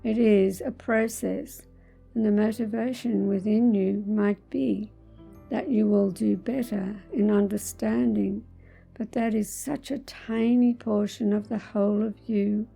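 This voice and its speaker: Female meditation guide, very mellow and heavily creaky, soothing, deliberate phrasing over background music. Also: medium pitch, steady tone. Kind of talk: guided meditation, spiritual talk.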